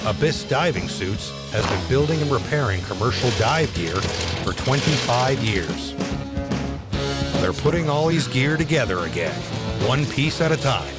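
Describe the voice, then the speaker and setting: A man narrates a reality TV series in a scripted documentary style. His pitch sits in the middle, and loud music plays behind his voice throughout. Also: big pitch swings, moderate pace.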